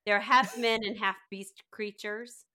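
This is a woman chatting casually as a podcast co-host. Her voice is bright and sharp at a middling pitch, and her way of talking is informal, salty and wry. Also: steady tone, measured pace. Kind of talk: casual chat.

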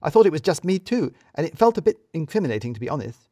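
A man voicing a brisk, sharp-witted woman office colleague with a pointed, wry manner in an audio story. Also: a little high, big pitch swings, no room sound, studio quality, voice pushed up.

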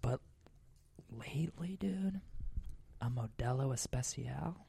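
A man's voice: medium pitch, animated, takes it slowly, creaky, warm tone, a little hiss or hum. A man hosting a casual podcast, playfully teasing his guest while reading beer reviews aloud. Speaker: podcast host.

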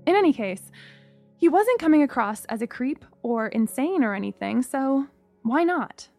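A woman's voice: high voice, big pitch swings, normal speed, a little mellow, soft background music. A young woman from Singapore narrating her own story in a wry, candid, first-person storytelling way.

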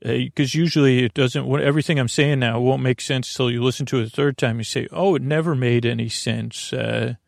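Man, gravelly fry, dry room-free sound, bedtime podcast host, wandering monologue, halting delivery, frequent tangents. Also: mid-range voice, fairly even intonation, no echo. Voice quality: creaky, gravelly. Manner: meandering, halting, goes off on tangents.

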